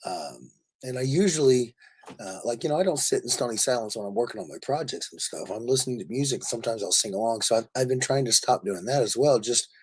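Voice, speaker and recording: A man with a raspy voice worn from a lot of talking, chatting casually and effusively with live viewers as host, in a jokey, rambling way. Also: mid-range voice, lively intonation, fast. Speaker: livestream host.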